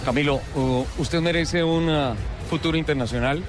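A man hosts a live motorsport radio show from a booth at an auto show, and his voice sits a little high for a man. He mixes teasing banter with earnest praise for his guest. Music plays behind him. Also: normal speed. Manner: teasing, playful, then earnestly encouraging. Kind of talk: joking live radio interview.

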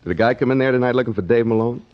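A man's voice: medium pitch, very fast, close to an everyday voice. An actor playing a cocky, scheming waterfront crook with slangy tough-guy talk in 1940s radio style. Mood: smooth, scheming. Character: scheming, cocky waterfront crook sweet-talking his moll. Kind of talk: 1940s radio crime acting. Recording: muffled 1940s radio recording.

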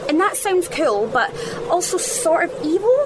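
High-voiced bossy schoolgirl vlogger. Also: sing-song, moderate pace, background noise. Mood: chatty explaining. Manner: chatty, bossy, breezy. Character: bossy, chatty island schoolgirl vlogger named Lily. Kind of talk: radio drama acting as a casual phone vlog.

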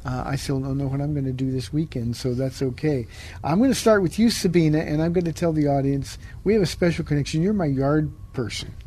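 A man's voice: on the high side, brisk, warm tone. A man hosting a radio talk show, interviewing students in a friendly, teasing way.

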